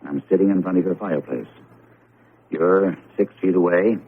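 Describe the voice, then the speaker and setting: A man acting in a vintage radio drama as a hearty, pragmatic academic friend who explains things in a folksy, expressive way. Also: sits low, moderate pace. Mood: rattled, reasoning. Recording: noisy, muffled old radio broadcast.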